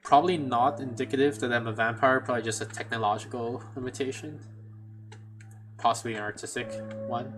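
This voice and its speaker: A man's voice: medium pitch, fairly even intonation, brisk. Chatty man thinking aloud over a game. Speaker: gameplay streamer. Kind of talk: casual let's play commentary. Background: game music.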